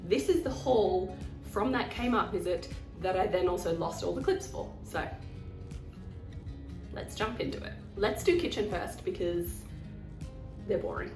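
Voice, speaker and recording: A woman's voice: mid-range voice, measured pace, reverberant, recorded from a distance, music in the background. A woman with a cold and a nasal voice, chatting casually about her shopping haul, rambling and poking fun at herself. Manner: chatty and rambling, self-deprecating. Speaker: vlogger.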